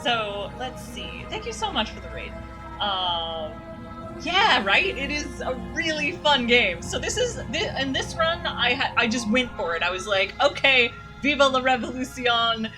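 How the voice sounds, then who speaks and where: Young woman, streamer, playful chatter, quick reactions, higher pitch, music behind. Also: animated. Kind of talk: live game commentary.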